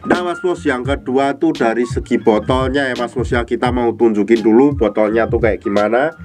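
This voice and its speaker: A man's voice: mid-range voice, moderate pace. A man with a nasal, stuffy voice from a cold, chattily explaining products to viewers as a vlog host. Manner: chatty, repeatedly addressing the viewer. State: congested from a cold. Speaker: online product seller and video host. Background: music behind the voice.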